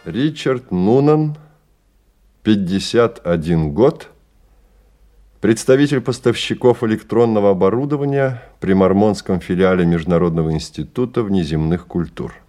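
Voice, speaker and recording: An actor playing a measured male storyteller, narrating a radio drama in a scripted dramatic style. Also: on the low side, dark tone, lightly acted. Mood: measured, wry. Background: music.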